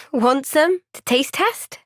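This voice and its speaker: An actress voicing a young woman soldier in a game cutscene, soft-spoken and caring. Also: high voice, expressive, extremely slow, no echo, clean studio sound, exaggerated voice.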